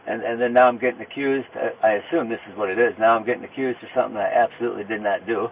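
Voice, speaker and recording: A man under arrest talking casually with police in a halting way, often repeating his phrases. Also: on the low side, fairly even intonation. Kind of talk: casual conversation during an arrest. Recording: noisy, tinny police body-camera or vehicle audio.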